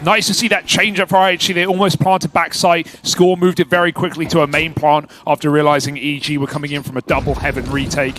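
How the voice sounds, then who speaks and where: A man's voice: fairly high pitch, little pitch movement, brisk, few pauses, fairly bright tone, a little hiss or hum. A man commentating and analysing a live esports match in colloquial, slangy language.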